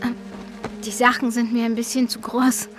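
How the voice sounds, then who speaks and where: A young woman with a high voice played by an actress in a radio drama, a long-lost sister who feels her way hesitantly toward something intense. Her delivery is naturalistic, with faint music behind it. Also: little pitch movement, moderate pace, close to an everyday voice. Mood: shy, polite. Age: young adult. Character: long-lost abducted younger sister posing as drenched lost hiker.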